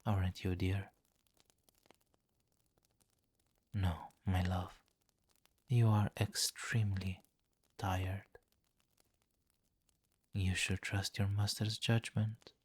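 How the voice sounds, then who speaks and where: Man playing a slow, low-voiced seductive vampire king. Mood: soothing, hypnotic. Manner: slow, coaxing, possessive, softly commanding, often near whisper. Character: seductive, domineering male vampire king, velvety and condescending. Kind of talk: ASMR roleplay with hypnotic murmuring.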